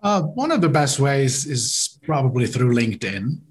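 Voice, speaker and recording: A man's voice: mid-range voice, big pitch swings, moderate pace. German-speaking Swiss man explaining. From Switzerland. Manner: explanatory, uses garden metaphors, repeats words. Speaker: podcast guest, leadership coach. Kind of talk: interview conversation.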